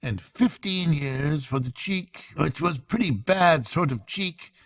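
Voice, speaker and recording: A man's voice: a little high, lively intonation, slow pace, dry recording, clean studio sound, pitched above the performer's own voice, exaggerated voice. A man voicing a fussy male court clerk from a storybook, who reckons things up in a pedantic, matter-of-fact way. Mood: drily pedantic.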